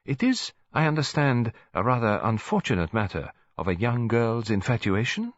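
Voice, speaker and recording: A man's voice: medium pitch, very animated, moderate pace, no room sound, highs cut off, close to an everyday voice. A man voicing a courteous, tactful detective, speaking formally and delicately in an audiobook.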